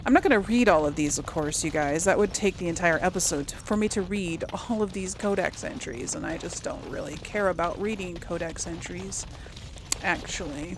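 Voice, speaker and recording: A woman's voice: fairly low pitch, moderate pace, background noise. A woman giving casual, rambling commentary as she plays a video game, thinking aloud to her viewers. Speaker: gamer hosting a role-play let's play.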